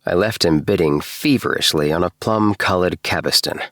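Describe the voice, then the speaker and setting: A man narrating a bedtime story in a measured, expressive storytelling manner, recorded in a small booth. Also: low-pitched, dry recording, clean studio sound. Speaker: audiobook narrator.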